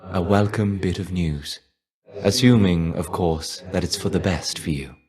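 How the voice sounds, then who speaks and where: An actor playing the shade of a fallen Greek warrior in a video game, wry, reserved and melancholy, with a measured, understated delivery. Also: male voice, deep voice. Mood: gently concerned. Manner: measured, understated, wistful, trailing off at phrase ends. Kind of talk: video game character voice lines.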